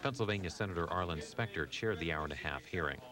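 A man's voice: deep voice, expressive, fast, a crowd in the background. A man reading a scripted broadcast introduction as a news announcer.

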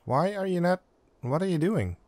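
A man's voice: high voice, expressive, moderate pace, darkish tone, no echo. A man doing casual let's play commentary, reacting and reading game dialogue aloud.

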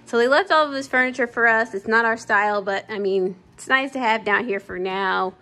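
A woman's voice: a little high, a little fast, pushed and bright. A young woman casually chatting as she walks through an empty house, with frequent ums, filmed on a phone. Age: young adult. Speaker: home vlogger. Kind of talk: casual house tour vlog. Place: empty house, walking room to room. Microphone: phone camera held while walking.

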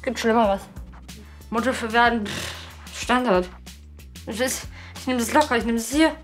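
A woman's voice: fairly high pitch, measured pace, faint music behind. A woman in her twenties answering interview questions in her apartment, blunt and offhand. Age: 20s. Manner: blunt, offhand, matter-of-fact. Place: small apartment indoors.

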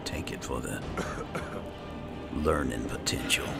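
A man's voice: rumbling low, takes it slowly, gravelly, over loud music. A man giving casual gameplay commentary, musing to himself and his in-game companions as he roleplays. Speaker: gaming video host.

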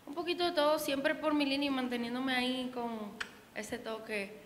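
A woman's voice: high voice, steady tone, normal speed. Young Dominican woman in an echoey room. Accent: Dominican Spanish. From Dominican Republic. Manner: chatty, many fillers and restarts. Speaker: singer. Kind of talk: casual interview answers. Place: indoor event room with reverb. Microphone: handheld mic held by interviewer. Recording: echoey room with faint noise.

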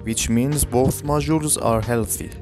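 A man's voice: medium pitch, measured pace, over loud music. A man in his twenties narrating an electronics tutorial as the presenter of his own videos. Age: 20s.

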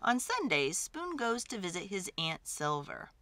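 Slow, expressive woman storyteller. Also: medium pitch, no room sound. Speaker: storyteller reading a picture book. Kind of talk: dramatic children's read-aloud narration.

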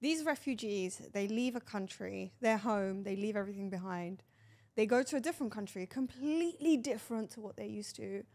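A woman's voice: mid-range voice, fairly quick, a little mellow. A woman hosting a casual interview, chatting with the guest and asking probing follow-up questions. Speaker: host.

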